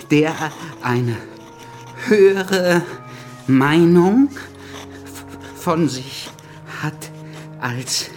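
An actor playing a nervous young male pamphleteer who stammers constantly, in a radio drama. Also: on the high side.

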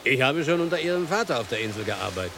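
A man's voice: mid-range voice, sing-song, quick pace, creaky, a bit bright, a little hiss or hum. An actor playing a dutiful, plainspoken coachman in a radio play, polite and explanatory. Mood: matter-of-fact. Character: dutiful coachman, long-serving island servant, plainspoken. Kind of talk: 1990s German radio play acting.

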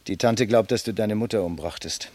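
An actor playing a boisterous, mocking young medical student in a German radio drama, theatrical and teasing, swinging from declaiming to muttering. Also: male voice, on the low side, brisk, dark tone, close to an everyday voice. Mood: teasing, reproachful.

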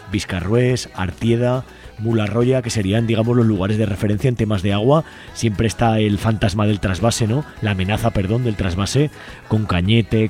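A man speaks in a lowish, dark and relaxed voice. He explains his points as an interview guest, often tacking a short question onto a statement. Soft music plays behind him. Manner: tags statements with questioning 'no?'. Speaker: environmental activist guest. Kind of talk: radio interview, explanatory talk.

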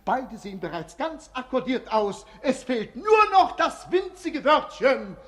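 A mischievous jester in a 1950s radio play. His high, swooping voice delivers mock-grand fairground patter, ringing through a long hall reverb. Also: very animated, measured pace, fairly bright tone, exaggerated voice. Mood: mocking, showy. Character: mischievous, mocking jester announcing like a showman.

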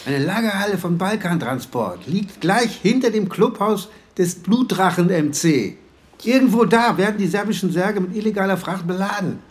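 Highish-voiced, sardonic male police investigator. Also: animated, moderate pace. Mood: dry, businesslike. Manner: pressing, ironic, informal. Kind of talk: German radio crime drama acting.

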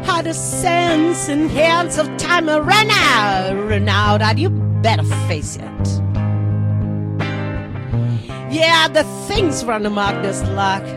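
Female singer, high register, very drawn-out rock delivery for a stage show. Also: expressive. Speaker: singer and reader in a theatre performance. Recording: loud guitar music behind the voice. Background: guitar.

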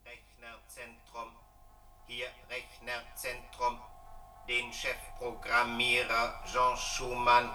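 An actor in a German radio play playing a computer-centre announcement voice, flat and mechanical. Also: male voice, mid-range voice, takes it slowly, distant mic, soft background music, rough recording. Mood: impassive.

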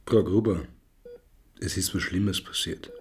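An actor in a radio drama playing a quiet, patient police inspector who asks and explains in a measured, husky way. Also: male voice, deep voice, exaggerated voice. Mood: gentle, grave.

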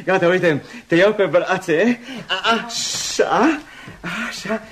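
Actor as high-voiced, flustered, tender man. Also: crowd noise, lightly acted. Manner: solicitous, flustered. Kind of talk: Romanian radio theatre acting.

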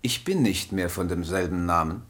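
An actor in a German radio play playing a mad aging poet, servile and ceremonious, halting and solemn in his speech. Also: sits low, a bit bright, breathy edge. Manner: obsequiously formal, halting, solemn.

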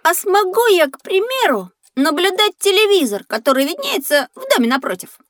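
A woman's voice: very high-pitched, normal speed, no echo, exaggerated voice. A woman voicing a naive, curious young schoolboy who tends to whine, in an educational audio play. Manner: childlike, earnest, expressive. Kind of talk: scripted educational audio drama.

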